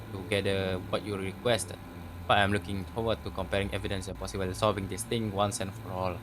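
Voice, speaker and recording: A man's voice: lowish voice, background noise. A man doing casual let's play commentary, stumbling as he reads text aloud and swearing freely. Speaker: gaming video host.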